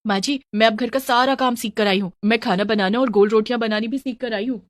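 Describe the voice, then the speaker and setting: A woman's voice: a little high, fairly even intonation, fairly quick, no echo, studio quality, natural voice. Woman playing a meek, earnest young daughter-in-law. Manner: pleading, earnest.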